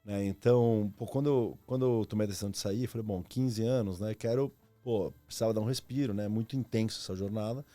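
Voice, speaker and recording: A man's voice: fairly low pitch, fast talker, hardly any pauses, mellow, no room sound, faint music behind. A man, telling his story casually and reflectively as a podcast guest, in slangy, informal speech. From Argentina. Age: 30s. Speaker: podcast guest, former marketing executive.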